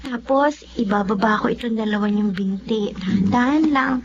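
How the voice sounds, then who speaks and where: An actress playing a devoted, tender Filipino daughter in a radio drama. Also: female voice, on the high side, normal speed, natural voice. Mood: tender, caring. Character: devoted, tender daughter caring for ailing father. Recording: muffled recording with faint noise.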